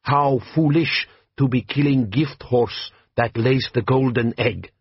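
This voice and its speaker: Guy doing a shrewd bandit chief, talks slow in broken english, husky, kinda muffled. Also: mid-range voice, no room sound. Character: boastful desert bandit chief, male, hearty and shrewd.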